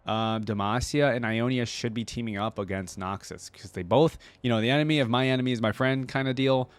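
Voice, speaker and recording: Lowish-voiced man, casual reaction host. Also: no room sound. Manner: informal, rambling, thinking out loud. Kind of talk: casual gaming lore commentary.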